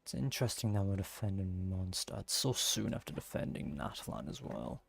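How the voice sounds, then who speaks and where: Low, gravelly man commenting on a game. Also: sing-song, moderate pace. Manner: musing aloud to himself in short reactions. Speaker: gamer playing through a story quest. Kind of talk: casual game playthrough commentary.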